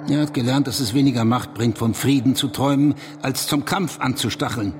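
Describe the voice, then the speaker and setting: Actor as indignant conservative guy in a radio play, sneering and accusing, medium pitch, bit of noise behind. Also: brisk, lightly acted. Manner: accusatory, contemptuous, rhetorical. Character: indignant conservative man, contemptuous of revolutionaries and workers' councils. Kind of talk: German radio drama dialogue, polemical debate.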